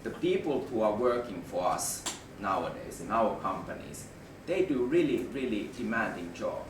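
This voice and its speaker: A man with a Finnish accent gives a talk to an audience, his voice hoarse from a flu and somewhat low. He stops and restarts words, then pushes up for emphasis. He is picked up from a distance in an echoey room over a steady background noise. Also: normal speed. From Finland. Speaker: management consultant presenter. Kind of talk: presentation to an audience.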